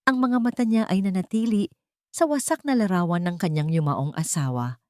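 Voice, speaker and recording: A woman's voice: on the low side, moderate pace, warm tone, no echo, clean studio sound. A woman narrating a scripted story in a dramatic style.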